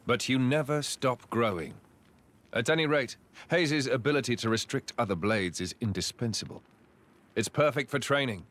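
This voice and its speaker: An actor playing a noble, earnest young prince in a video game, courteous, encouraging and polished in delivery. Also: male voice, medium pitch, moderate pace, fairly bright tone, natural voice. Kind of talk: video game cutscene acting.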